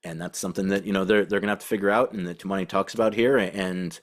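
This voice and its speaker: A man with a low voice hosts a sports show as a beat reporter. He talks conversationally and emphatically, circling around his points as he goes. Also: fairly even intonation, fast. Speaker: podcast host and basketball beat reporter. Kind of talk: conversational sports commentary and interviewing.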